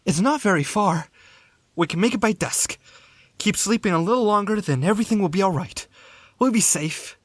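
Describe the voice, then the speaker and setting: A woman voicing a small, hopeful young marten, earnest and expressive, in a dramatic comic dub. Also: mid-range voice, normal speed, no echo, lightly acted. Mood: tender, hopeful. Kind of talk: dramatic comic fandub acting.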